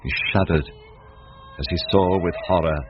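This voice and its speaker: Very deep-voiced male story narrator. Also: moderate pace. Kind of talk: scripted dramatic story narration. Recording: muffled noisy old radio recording.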